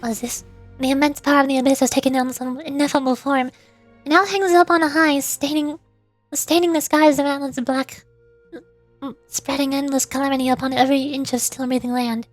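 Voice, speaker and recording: A playful, expressive woman, commentating on a video game livestream as a vtuber. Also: high-pitched, brisk. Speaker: vtuber streamer. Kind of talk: game livestream commentary, reading game text aloud. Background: game music.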